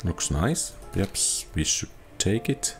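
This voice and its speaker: A man's voice: sits low, big pitch swings, slow pace, soft background music. A man giving casual gameplay commentary, thinking aloud as he sorts through items. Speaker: gameplay streamer.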